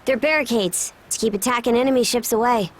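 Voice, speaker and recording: An actress playing a frank, capable young daughter of a seafaring chief in a video game, casual and matter-of-fact. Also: female voice, on the high side, fairly quick, slight background noise, close to an everyday voice. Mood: explanatory. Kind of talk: video game cutscene acting.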